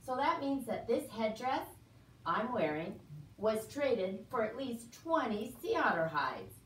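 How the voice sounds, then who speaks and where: A woman's voice: fairly high pitch, sing-song, unhurried. A woman voicing a proud, cheerful puppet woman who explains things to an audience with exclamations and questions in an educational show. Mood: proud, explaining. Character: proud, cheerful Chugach Sugpiaq woman puppet in fur parka. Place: indoor room, puppet stage. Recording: roomy, distant mic, faint noise.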